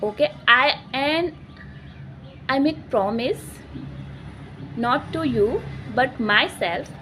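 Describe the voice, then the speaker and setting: Slow, earnest woman. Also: sits high, bright, forceful tone, a little hiss or hum. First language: Hindi. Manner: earnest and halting, peppered with "okay". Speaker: English-learning channel host. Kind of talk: casual vlog talk to camera.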